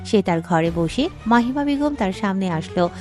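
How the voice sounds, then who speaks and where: Casting: female narrator, medium pitch, scripted dramatic storytelling with a faint music bed. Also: expressive, normal speed, a little mellow.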